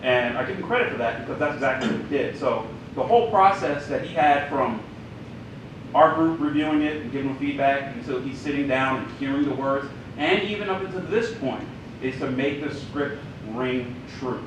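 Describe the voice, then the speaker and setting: A man from the writing group, commenting emphatically on a panel and repeating himself as he makes his point. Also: normal speed. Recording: echoey room from far off with rumble.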